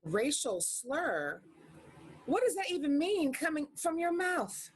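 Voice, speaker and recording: A woman's voice: high-pitched, sing-song, takes it slowly, full character voice. A woman acting a brash, blunt, sarcastic friend who snaps back, in a comedy script read over a video call. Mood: snappy, blunt. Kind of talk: live comedy screenplay table read.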